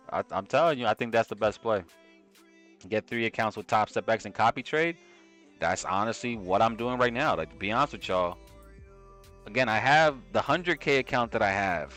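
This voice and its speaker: A man's voice: on the low side, fairly bright tone. A young man with a New York accent chatting casually with viewers on a trading live stream. He is slangy and loose, often tagging "right?" onto his sentences.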